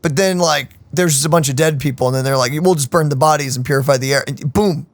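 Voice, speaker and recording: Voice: medium pitch, fast talker, dry recording. A streamer co-host giving casual gameplay reaction commentary in a rambling, slangy way.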